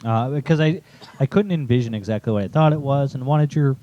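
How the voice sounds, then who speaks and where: A man's voice: medium pitch, brisk, dark tone, highs cut off. A man talking informally and thinking aloud as he discusses his own writing with co-hosts. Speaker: writer and co-host presenting own chapter. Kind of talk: casual critique discussion.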